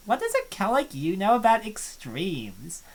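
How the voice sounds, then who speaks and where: A woman's voice: medium pitch, wildly swooping pitch, a little slow, pitched below the performer's own voice. A woman voicing a sneering mean-girl student. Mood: taunting. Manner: taunting, sneering. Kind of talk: fan voice acting of a visual novel.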